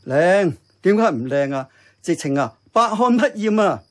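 An actor playing a kindly, contented older uncle in a Hong Kong Cantonese radio drama, chatty and reassuring. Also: male voice, high voice, lively intonation, normal speed. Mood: cheerful, eager.

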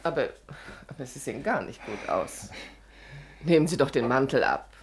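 Kindly village teacher, low voice, very lively intonation, caring, faint old radio hiss. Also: female voice, normal speed. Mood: concerned. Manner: caring, gently insistent instructions. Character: solicitous village schoolteacher woman, kindly and practical. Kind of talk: 1950s radio play acting. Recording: slightly noisy vintage radio recording.

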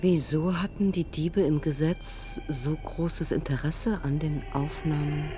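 A controlled, menacing police interrogator asks probing questions. Her delivery is measured and unemotional, as in radio drama, with music clearly behind her. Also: deep voice, fairly even intonation. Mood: cool, controlled. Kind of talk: dramatic radio play dialogue.

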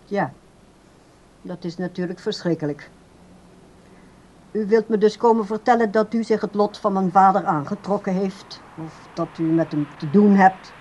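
A woman's voice: medium pitch, moderate pace, a little mellow, close to an everyday voice. Actress as a cool, guarded grown woman. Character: cool, guarded adult daughter of an old man. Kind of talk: Dutch radio play acting.

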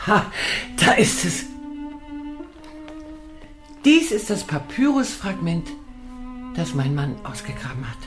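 An actress playing an archaeologist's wife in a German radio drama, explaining in a deliberate, thoughtful way. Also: female voice, fairly low pitch, big pitch swings, music in the background. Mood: absorbed, recollecting.

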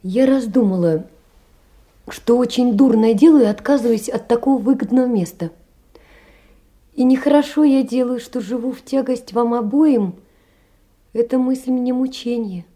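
A sickly, poor young woman in a stage drama. Her voice is very dark and soft-edged, and she speaks in an earnest, plaintive way, blaming herself and aching with sorrow. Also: a little high, normal speed, pauses often. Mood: anguished, pleading. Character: sickly, anguished, self-reproaching poor young woman writing letters. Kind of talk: theatrical monologue, letters read as drama.

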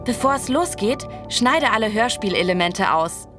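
A woman with a fairly high voice narrates as the guide of an interactive audio adventure. She gives scripted, directive instructions straight to young listeners, with music clearly audible behind her. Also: lively intonation, normal speed.

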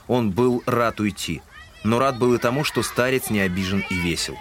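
A man reading scripted literary narration as the narrator of an audio drama. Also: fairly low pitch. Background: music.

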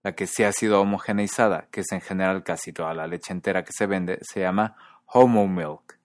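Male podcast host, very low pitch, dry studio sound, measured explanatory read. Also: no room sound. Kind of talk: scripted podcast narration.

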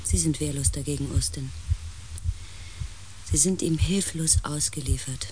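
An actress in a German radio play, playing a sober, uneasy crew member of a space expedition in a grave, restrained manner. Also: female voice, low voice, a little slow, background noise. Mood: concerned.

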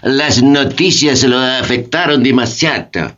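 An actor playing a hesitant, deferential banking envoy in a measured radio drama style. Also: male voice, mid-range voice, fairly bright tone, lightly acted. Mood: solemn, hesitant. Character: deferential World Bank envoy. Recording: dull lo-fi, noisy.

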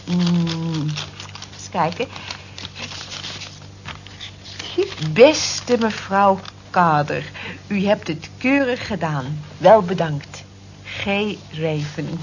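A muffled old radio play recording with faint noise. a modest, earnest cleaning woman who talks slowly and politely in a mid-pitched voice. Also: animated. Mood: surprised.

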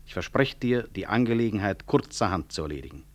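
Guy acting as a low voiced hospital boss in an old radio play, dry and ironic, very composed. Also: animated, fast talker, close to an everyday voice. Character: sardonic, composed senior male hospital director. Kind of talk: 1960s German-language radio drama acting.

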